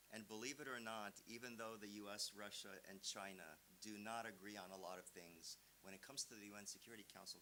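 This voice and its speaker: A man's voice: on the low side, sharp tone, poor audio. A man from Romania, a visiting professor answering seminar questions in an explanatory, emphatic, conversational manner. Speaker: visiting professor, guest lecturer. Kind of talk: academic seminar answer with examples. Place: seminar room. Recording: clear background hiss.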